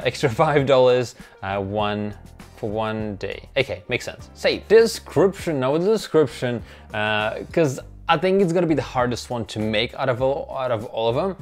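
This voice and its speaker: A young man with a Russian accent, a native Russian speaker, narrating his own vlog in a casual, upbeat and self-mocking way, recorded on a lapel mic in a small bedroom. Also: mid-range voice. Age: young adult. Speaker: vlogger. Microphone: clip-on lapel mic.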